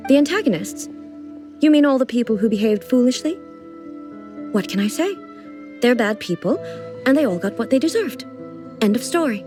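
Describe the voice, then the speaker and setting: Game role: solemn, sheltered female sovereign, played by a woman, fairly high voice, formal and composed. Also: animated, normal speed, pauses often, music in the background, natural voice. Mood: dismissive. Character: dignified, earnest female ruler, sheltered and solemn. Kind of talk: video game character acting.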